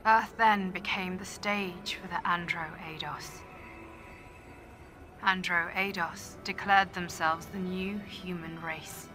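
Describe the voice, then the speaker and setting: Game role: solemn female soldier recording a log, lowish voice, grave and deliberate, over clear music. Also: steady tone, bright, forceful tone. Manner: deliberate, grave, clipped phrases. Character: grim, solemn female soldier logging discoveries.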